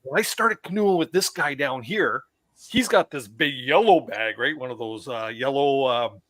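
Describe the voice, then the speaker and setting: A man's voice: mid-range voice, expressive, moderate pace, a bit bright, no room sound. A man with a Canadian accent, talking casually as a guest about his outdoor trips, repeating and restarting words as he goes. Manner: chatty, stammers and repeats himself. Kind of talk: casual chat.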